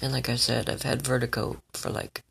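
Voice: medium pitch, flat delivery, a little fast, gravelly, a bit bright, breathy edge. A congested vlogger with a scratchy voice, rambling and checking in with the listener again and again. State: stuffed up, congested. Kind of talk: rambling personal vlog.

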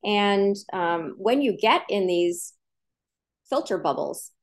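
A woman's voice: medium pitch, animated, slow pace. Woman, journalism professor as interview guest; earnest, explanatory, emphatic. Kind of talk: interview answers.

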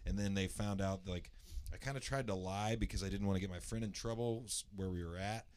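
A man from the Indianapolis area with a naturally loud voice, casually telling a long personal story, rambling with lots of fillers and restarts and clearing his throat. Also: low voice, brisk. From Indianapolis area, USA.

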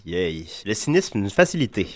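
A man's voice: fairly low pitch, sing-song, brisk, a little mellow, dry recording. A man with a Quebec French accent hosting a casual radio chat while down with the flu. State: has the flu. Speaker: radio host.

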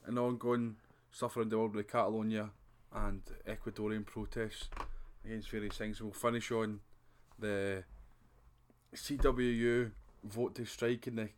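A man with a Scottish accent hosting a casual podcast, talking in a relaxed, conversational way that often drops to a mutter. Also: lowish voice, moderate pace. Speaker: podcast host. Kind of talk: casual chat.

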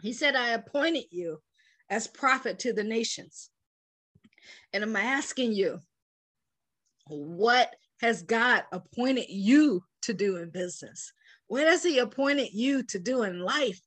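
A woman preaching to online viewers about faith and business, fervent and asking listeners to say lines back, her voice turning hoarse. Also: fairly high pitch, expressive, slow pace, fairly bright tone. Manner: fervent, calls for responses from listeners. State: hoarse, losing her voice and coughing.

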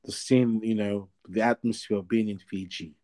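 A man's voice: lowish voice, fairly even intonation, normal speed, dark tone. A man in his thirties from Australia, a guest in a casual interview, talking in a rambling way with many fillers and restarts. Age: 30s.

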